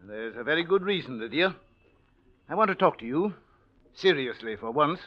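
A man's voice: fairly high pitch, expressive, normal speed. An American man playing a stern, disapproving older uncle in a 1940s radio drama, blunt and severe. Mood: weary, serious. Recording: muffled old radio recording.

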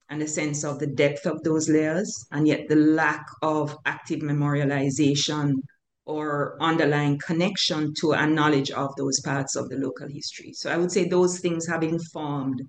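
An older Jamaican woman speaks in a measured, thoughtful way, pausing often with fillers. Her voice carries a noticeable room echo. A faint hiss sits underneath. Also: low voice. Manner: measured, hesitant with many fillers. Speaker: author, guest. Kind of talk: thoughtful interview answers. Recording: echoey room with faint noise.

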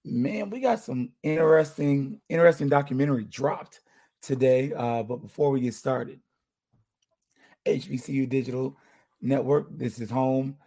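Hoarse guy hosting a sports podcast, gravelly and mellow, just chatting casually and laid-back. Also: medium pitch, normal speed. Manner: laid-back, chatty, gives shout-outs. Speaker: podcast host.

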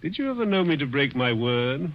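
Gloating, swooping older villain. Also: male voice, a little high, very animated. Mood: mocking. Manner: mocking, drawn-out, chuckling, menacing. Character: wealthy, gloating, sadistic older man taunting his guest. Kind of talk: 1940s radio suspense acting. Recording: muffled, hissy 1940s radio recording.

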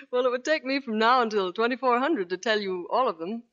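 A woman's voice: on the high side, a bit bright. A woman Navy officer speaking as a guest in a scripted 1940s radio interview. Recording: dull lo-fi 1940s radio recording.

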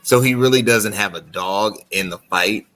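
A man's voice: on the low side, normal speed, fairly bright tone. A man hosting a casual sports talk podcast, chatty, often checking in with "right?". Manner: chatty, frequent "right?" tags. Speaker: podcast host.

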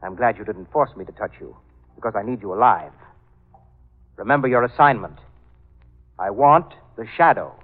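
An actor playing a soft-spoken, menacing male villain with unhurried, mock-polite courtesy in an old radio drama. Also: lowish voice, expressive, husky. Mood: calm menace. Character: soft-spoken, menacing villain who claims a deadly touch. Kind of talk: 1940s radio drama acting. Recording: muffled old radio recording, long reverb.

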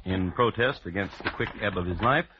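A man hosting an old-time radio crime show, telling the story in a dry, deadpan, wry way. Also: sits low, normal speed, background noise. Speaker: host and narrator. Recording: dull vintage radio recording with music.

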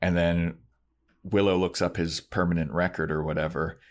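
A man's voice: low-pitched, flat delivery, moderate pace. A man co-hosting a podcast, casually recapping a story in an informal way and quoting the characters as he goes. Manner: informal retelling with acted-out quotes. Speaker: podcast co-host. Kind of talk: casual chat recapping a TV episode.

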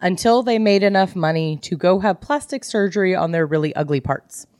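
A woman co-hosting a casual book discussion, retelling things in an animated way and acting out dialogue. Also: on the low side, brisk, no echo, studio quality. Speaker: podcast co-host.

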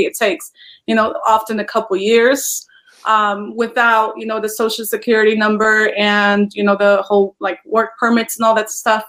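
A woman, chatting as an interview guest, full of "you know" fillers and quick to laugh. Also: on the high side, fairly bright tone. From Poland. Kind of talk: casual interview chat.

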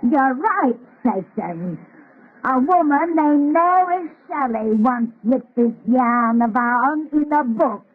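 A woman's voice: sits high, breathy edge, exaggerated voice. A woman playing a gleefully macabre hundred-eleven-year-old witch storyteller with a folksy dialect, in old radio horror style. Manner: folksy dialect, drawn-out, cackling. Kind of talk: 1930s radio horror acting. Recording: tinny, scratchy old radio broadcast.

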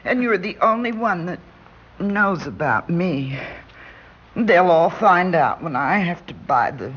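A sentimental, tipsy older woman in a radio play. She talks slowly and fretfully, her pitch swooping as she confides. The old recording is dull with a faint hiss. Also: expressive, husky, full character voice. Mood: wistful, dithery. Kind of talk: old-time radio drama acting.

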